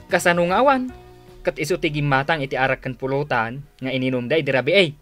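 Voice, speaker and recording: A man's voice: a little high, fast, a bit bright, soft background music, close to an everyday voice. A man with an Ilocano accent playing a generous, mild-mannered husband and son-in-law in a radio drama. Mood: helpful, calm.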